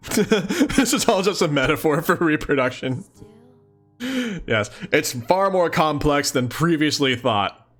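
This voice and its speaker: A playful, chatty man reading a webcomic aloud and commenting casually on a livestream, often giggly and thinking out loud. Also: on the high side, big pitch swings, slow pace, long pauses, soft background music. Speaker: streamer.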